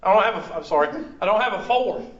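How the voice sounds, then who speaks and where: A man's voice: high voice, lively intonation, fast, fairly bright tone. A man teaching a math class, working problems aloud and questioning students in a classroom. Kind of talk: classroom lesson. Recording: echoey classroom, dull far-off sound.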